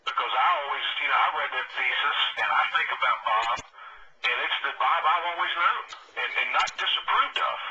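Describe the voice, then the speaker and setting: A man's voice: mid-range voice, fairly quick, pushed and bright. A man making emphatic off-the-cuff remarks as a former politician on a conference call, often repeating words as he goes. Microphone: telephone conference call. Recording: muffled distant phone line, faint noise.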